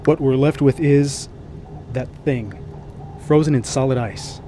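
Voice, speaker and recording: A man's voice: mid-range voice, unhurried, dark tone, slight background noise, lightly acted. An actor playing a sober male expedition commander, speaking formally and with authority in a radio drama.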